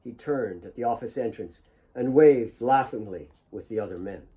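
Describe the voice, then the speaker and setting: A man's voice: mid-range voice, moderate pace, dark tone, highs cut off. A man reading his own fiction as a dramatic audiobook narrator.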